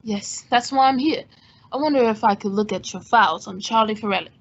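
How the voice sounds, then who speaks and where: A woman playing a cool, wry gentleman sleuth in old-time radio style, with an understated, dry wit. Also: mid-range voice, brisk, lightly acted. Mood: curious. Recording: dull lo-fi audio.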